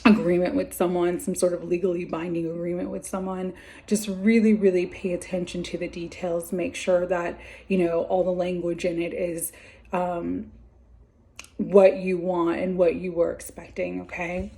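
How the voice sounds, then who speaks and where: A woman doing a weekly tarot card reading, talking gently and meandering with many fillers while she is losing her voice. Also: fairly low pitch, flat delivery, normal speed.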